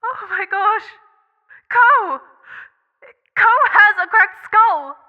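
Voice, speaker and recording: An actress playing an anxious young rescuer in an audio drama, urgent and hesitant as she tries to stay steady. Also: extremely high pitch, drawn-out words, exaggerated voice. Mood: alarmed. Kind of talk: scripted audio drama acting. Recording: dull, muffled lo-fi sound.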